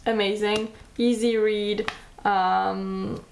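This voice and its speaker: A woman with a French accent talks through her favorite reads with easy enthusiasm. Her voice sits at a middling pitch, and she slips now and then into reading blurbs aloud. Her phrasing is a little non-native. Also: little pitch movement, extremely slow, gravelly, slight background noise. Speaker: book vlogger. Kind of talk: casual book recommendations, reading blurbs aloud.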